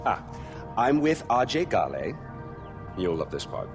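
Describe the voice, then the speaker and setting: A man's voice: on the low side, big pitch swings, measured pace, creaky, music in the background, exaggerated voice. A man playing a flamboyant, middle-aged tyrant in a video game cutscene, theatrically polite, mocking and volatile. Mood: mocking, angry. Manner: theatrical, affected politeness breaking into swearing outbursts.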